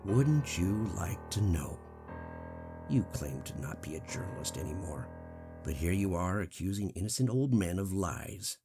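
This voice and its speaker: A man's voice: low voice, expressive, unhurried, creaky, heavily acted. A man acting a taunting, venomous old murder suspect in a radio drama, with slippery, theatrical menace. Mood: mocking, sly. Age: older. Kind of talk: audio drama acting in vintage radio manner. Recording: music laid under the voice. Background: plucked guitar music.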